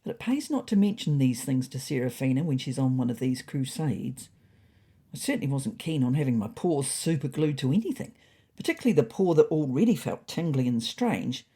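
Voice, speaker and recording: Husky, expressive woman storyteller. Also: a bass voice, brisk. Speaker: storyteller and podcast narrator. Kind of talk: scripted story narration, first person.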